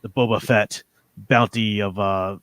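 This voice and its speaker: A man's voice: fairly low pitch, fairly even intonation, measured pace, a bit bright, no room sound. A man in his forties hosting a livestream, talking casually and enthusiastically as a fan, in a rambling way. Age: 40s. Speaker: livestream host. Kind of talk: casual fan discussion of comics and audio dramas.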